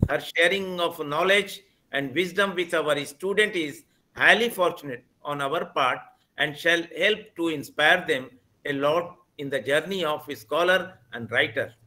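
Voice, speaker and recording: A man's voice: a little high, pushed and bright. Formal man on a video call. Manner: formal, slightly halting. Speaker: college principal. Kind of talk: formal welcome address. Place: office with trophies.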